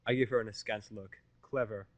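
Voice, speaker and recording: A man's voice: on the low side, fairly even intonation, a little fast, no echo. A man narrating a scripted first-person story as an audio fiction reader.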